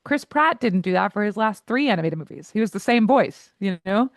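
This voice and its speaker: A woman in her forties, a voice coach and guest in an interview, who talks candidly in quick asides and laughs easily. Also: medium pitch, expressive, dry recording, studio quality.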